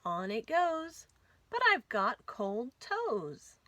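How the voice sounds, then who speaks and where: A woman reads aloud at a children's storytime with a bright, fairly high voice. Her delivery is unhurried and sing-song, exaggerated so that small children can follow along. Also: big pitch swings, no room sound. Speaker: children's library storytime host. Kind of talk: animated picture-book read-aloud for young children.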